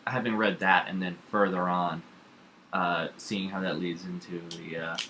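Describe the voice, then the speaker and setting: Young male co-host, bright punchy voice, casual opinionated delivery, slight background noise. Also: deep voice, fairly even intonation, normal speed. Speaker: co-host, the host's son. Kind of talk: casual chat about comics. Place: kitchen at home.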